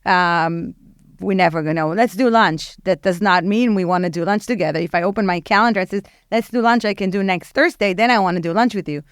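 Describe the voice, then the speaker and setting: Woman, nonprofit head, candid and chatty, smooth clear tone, dry close mic. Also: fairly low pitch, brisk, no echo. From Israel. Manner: candid, frank and animated, with many fillers. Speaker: podcast guest, nonprofit executive director. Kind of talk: casual interview talk.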